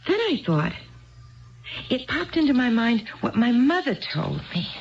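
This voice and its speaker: A woman's voice: a little high, sing-song, measured pace, creaky, exaggerated voice. An actress playing an earnest, tipsy married woman who rambles wistfully in a 1970s radio drama. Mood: reflective. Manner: wistful, digressive, repeats herself. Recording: dull lo-fi 1970s radio broadcast.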